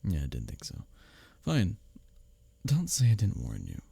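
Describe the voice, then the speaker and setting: A man acting an arrogant, teasing office rival who is secretly smitten, in an intimate audio roleplay, often breathy and near a whisper. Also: fairly low pitch, huge pitch swings, warm tone, no echo, exaggerated voice. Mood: flustered, confessing. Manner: smirking, teasing, halting when flustered. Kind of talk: intimate ASMR audio roleplay addressed to the listener.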